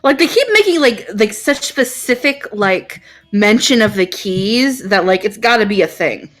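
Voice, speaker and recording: A woman's voice: mid-range voice, expressive, normal speed, faint music behind. A woman co-playing a game stream, chatting casually and gushingly, often repeating herself and saying like. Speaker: co-player voicing lines on a stream. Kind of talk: casual banter and reading game dialogue.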